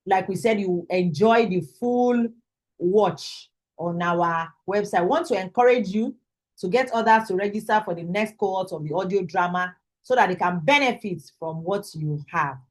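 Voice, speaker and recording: A woman with a Nigerian accent hosts an online course. Her voice is mid-pitched, and she presents as an instructor on a video call. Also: moderate pace.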